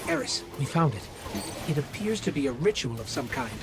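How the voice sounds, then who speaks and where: Animated, earnest little robot companion. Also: male voice, a little high, a little fast, gravelly, very noisy. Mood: earnest, determined. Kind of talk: video game cutscene acting.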